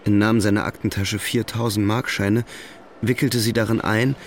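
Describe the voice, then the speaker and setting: A man's voice: on the low side, little pitch movement, moderate pace, a little hiss or hum. A man reading scripted literary narration as the narrator of an audio drama.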